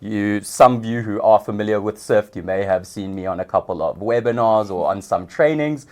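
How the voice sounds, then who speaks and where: A young South African man, a podcast guest, explaining his work in a relaxed way with some hesitations. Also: lowish voice, moderate pace. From Johannesburg, South Africa. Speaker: guest, customer success manager. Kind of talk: casual interview.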